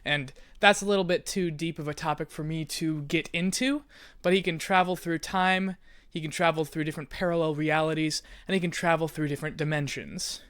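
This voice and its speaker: A man's voice: fairly high pitch, steady tone, fairly quick, a bit bright, clean tone, no room sound. A man hosting and explaining to listeners in a conversational, playful way with theatrical emphasis. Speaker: host, writer and creator of an audio drama.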